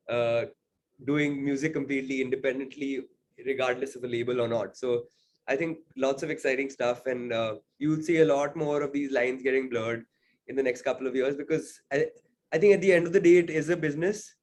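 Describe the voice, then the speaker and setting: A man speaks as an interview guest at a middle pitch. He talks in an easygoing way and keeps hedging with "sort of" and "I think". A faint hiss sits behind his voice. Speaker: singer-songwriter guest. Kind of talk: casual interview talk.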